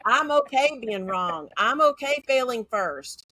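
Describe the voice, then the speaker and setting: A woman's voice: fairly high pitch, animated, unhurried, bright, forceful tone, dry recording. A woman from Central Texas with a Texan accent, a guest talking frankly and confidently in a casual interview. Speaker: guest, educator.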